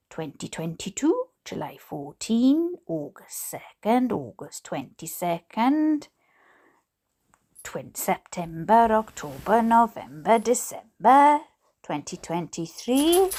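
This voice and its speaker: A Welsh woman reads aloud in a notably high voice. Her pace is unhurried, and her delivery is expressive and playful, with asides in her own words. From Wales. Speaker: host reading letters aloud. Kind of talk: dramatic reading aloud with amused asides.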